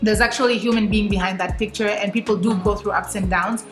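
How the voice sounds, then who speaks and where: A woman's voice: mid-range voice, steady tone, quick pace, reverberant, faint music behind. A woman from Tanzania, an HR manager, talking animatedly as a guest and sometimes searching for words. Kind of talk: casual interview.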